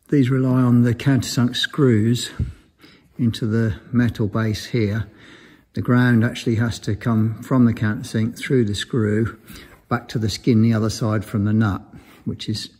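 A man's voice: lowish voice, flat delivery, measured pace, darkish tone. A man giving an informal technical explanation in a matter-of-fact, understated and somewhat hesitant way, as a maintenance engineer. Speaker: aircraft maintenance engineer presenting.

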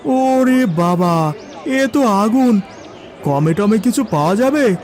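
A man's voice: sits high, animated, takes it slowly, darkish tone, a faint crowd. A man playing a poor, humble and devoted village son in a cartoon fairy tale, with an earnest, pleading way of talking. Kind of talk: animated fairy tale acting.

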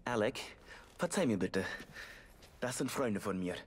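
A man playing a calm, courteous young ally in a Victorian video game, polite and measured in his wording. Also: fairly low pitch, husky, long reverb tail. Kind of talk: German video game dub acting.

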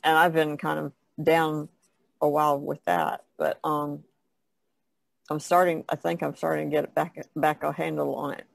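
Very creaky seventy-year-old woman. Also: low voice, level tone, moderate pace, no echo. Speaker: podcast panelist, artist. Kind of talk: casual chat.

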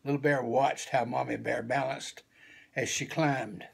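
A man in his seventies reading a children's picture book aloud expressively in a small room at home. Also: mid-range voice. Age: 70s. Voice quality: gravelly, husky. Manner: slow, deliberate, animated.

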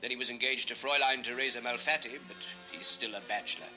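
An actor playing a knowing, gossipy townsman, confiding and animated, in a 1960s children's record drama. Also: mid-range voice, fast, faint music behind, poor audio. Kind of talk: 1960s children's record radio-play acting.

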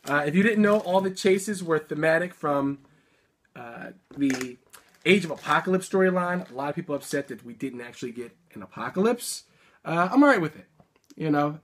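Man in his thirties, slightly high voice, upbeat unboxing chat at a webcam, faint room hiss. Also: fairly bright tone. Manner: upbeat, chatty, quick little laughs. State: a bit sick, sniffling. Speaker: toy unboxing vlogger. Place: indoor room full of collectibles.

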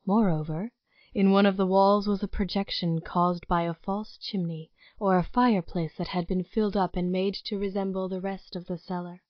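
A woman narrator reading a scripted dramatic story in a formal, deliberate storytelling manner. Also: medium pitch, steady tone, no echo, lo-fi sound.

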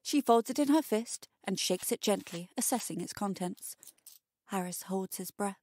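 A woman narrating a scripted short story in a deliberate, measured manner. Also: mid-range voice, lively intonation, a little mellow.

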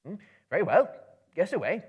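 A man's voice: sits high, very animated, moderate pace, husky, highs cut off, pitched above the performer's own voice, full character voice. A man voicing a small, frightened hobbit who tries to sound bold, exclaiming in a dramatic storybook reading. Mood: nervous. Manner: squealing, stammering, exclaiming.

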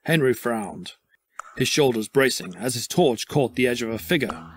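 A man's voice: medium pitch, lively intonation, normal speed. A man giving scripted narration for a horror audio drama. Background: music.

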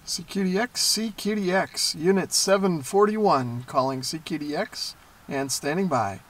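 A man's voice: a little high, a little slow, noisy background. A middle-aged American man hosting a hobby how-to, talking in an easygoing, self-deprecating, casual way. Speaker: host of a radio hobby channel.

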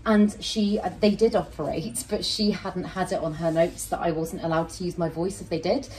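A woman in her thirties with a deep voice, chatting casually and rambling to camera as a plant channel host while she repots a plant in her small home kitchen. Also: steady tone, moderate pace, a little mellow, poor audio. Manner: chatty, rambling, self-interrupting; handling soil and roots while talking to camera. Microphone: fixed camera at medium distance.

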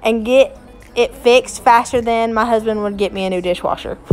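A woman's voice: on the high side, very animated, measured pace, a little mellow. Chatty Southern woman with music behind. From Smoky Mountains area, USA. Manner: chatty, self-mocking, laughs often. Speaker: vlogger. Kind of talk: casual voice-over chat.

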